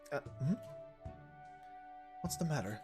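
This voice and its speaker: A man's voice: medium pitch, animated, slow, music in the background. A man voicing an earnest, determined young female knight in a game story reading, restrained and serious. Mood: subdued, serious. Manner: restrained, serious, plain-spoken.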